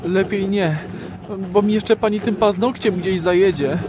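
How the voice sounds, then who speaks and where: A man's voice: high-pitched, unhurried, background noise. An actor playing a nervous, awkward first-time male patient in an audio drama, hesitant and sheepish. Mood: nervous, wary.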